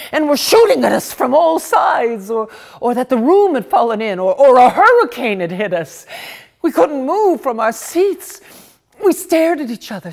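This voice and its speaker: A woman reading a short story as theatrical narration, dramatic, with emphatic pauses. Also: high-pitched, a little mellow. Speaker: reader of short stories.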